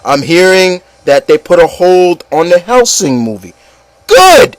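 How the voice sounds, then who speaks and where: A man's voice: high-pitched, sing-song, measured pace, no echo. A man hosting a casual opinion show, speaking bluntly and with exasperation, often stammering and repeating himself and swearing. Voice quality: stammering, repeats words and phrases. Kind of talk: casual opinion rant with a co-host.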